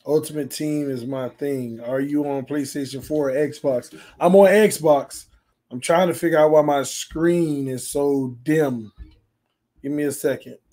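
Man, livestream host, fading voice, holding back, mellow dark tone, slightly high. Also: steady tone, measured pace. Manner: talks quietly to spare his voice, reads chat aloud. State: losing his voice. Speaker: sports channel host. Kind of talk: casual livestream chat while gaming. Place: small home room full of fan decor. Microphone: desk mic on stand.

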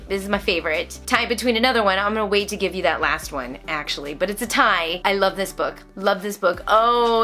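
A woman's voice: medium pitch, fairly bright tone. American woman chatting casually, with emphatic phrasing. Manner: emphatic, repeats phrases for stress. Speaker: homeschooling vlogger reviewing books. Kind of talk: casual chat to camera. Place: indoors, small room at home.

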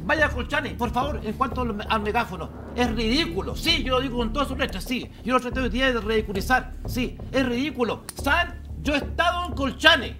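A man's voice: extremely high pitch, animated, a little fast, hardly any pauses, background noise. An older man with a Chilean accent, arguing emphatically and insistently in a TV panel debate. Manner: emphatic, insistent, repeats key phrases. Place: TV studio panel desk. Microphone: lapel mic. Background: music under the talk.

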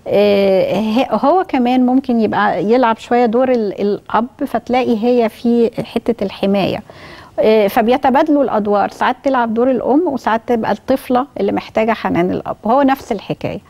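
A middle-aged woman with an Egyptian accent, giving astrology advice in a talk-show studio, speaking slowly with pauses. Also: on the high side, warm tone. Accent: Egyptian Arabic. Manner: measured, explains slowly with pauses and fillers. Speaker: astrologer guest. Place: bright television studio.

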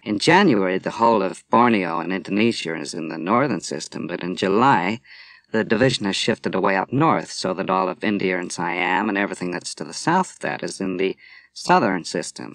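A man voicing a steady naval commander. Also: low-pitched, vocal fry, no room sound, full character voice. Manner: measured, patient explaining. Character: calm, steady middle-aged male naval commander.

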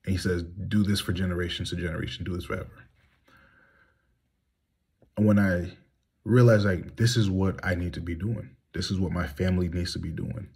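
Man, 30s, very low husky voice, heated and blunt, phone selfie monologue from a small room. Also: steady tone, normal speed, long pauses, a little mellow. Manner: heated, blunt, rhetorical questions. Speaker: vlogger. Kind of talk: candid monologue to phone camera. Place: small room indoors, reclining. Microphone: phone at arm's length.

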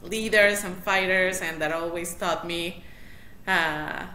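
Bright woman, roomy call. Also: on the low side, fairly even intonation, slow pace. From Mexico City. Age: middle-aged. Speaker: interview guest, matchmaker. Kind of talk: casual interview chat. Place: small room indoors, on a video call. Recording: roomy, noisy video-call audio.

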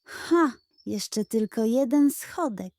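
A woman's voice: a little high, animated, slow pace, darkish tone, dry recording, clean studio sound. A woman voicing a sly, hungry male pug who gleefully schemes to himself in a children's fairy tale.

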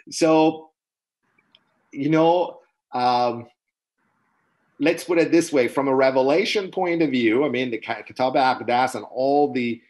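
A middle-aged man whose first language is Persian, giving an unscripted webinar lecture in a halting, earnest way with many restarts, recorded on a video call from a small room. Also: medium pitch, slightly rough. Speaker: webinar presenter. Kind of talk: unscripted lecture answering questions. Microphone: webcam on a video call.

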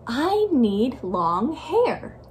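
A woman's voice: on the high side, very animated, drawn-out words, darkish tone, a little hiss or hum, heavily acted. A woman reading aloud as an earnest, frustrated young girl in a picture book, plaintive and insistent.

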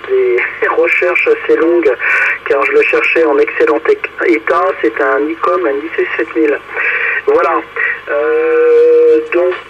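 A man chatting casually as an amateur radio operator over a two-way radio, hesitating as he searches for words. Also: on the high side. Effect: two-way radio transmission. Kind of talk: casual radio contact chat, passing turns. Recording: dull radio signal with clear static noise.

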